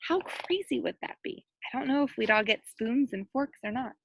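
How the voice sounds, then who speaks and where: A woman with a fairly high voice reads a children's storybook aloud in a close, dry sound. She is animated and breaks off to point things out to her young listeners, like a teacher with a class. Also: normal speed, vocal fry, husky, no room sound, dull audio. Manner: animated, acts out quoted lines and points out pictures. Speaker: elementary school teacher reading aloud to children. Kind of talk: expressive picture-book read-aloud with asides to young listeners.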